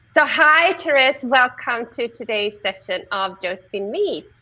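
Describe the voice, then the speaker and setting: A woman's voice: a little high, lively intonation, unhurried, bright, forceful tone, muffled recording. A Swedish woman with a Swedish accent hosting a casual live-stream chat, chatty and laughing often. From Sweden. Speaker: host.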